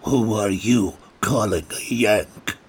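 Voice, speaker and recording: A towering, menacing American guard, as a man plays him, speaks low and deliberately slow, every word clipped and stern. The tone is somewhat husky and set back from the mic.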